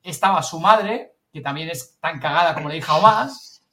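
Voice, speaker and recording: A man with a Spanish accent from Spain tells an anecdote casually as a podcast host, colloquial and animated. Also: on the high side, normal speed, far from the mic, a crowd in the background. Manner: animated storyteller, colloquial, swears casually, quotes others. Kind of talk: casual anecdote telling among colleagues.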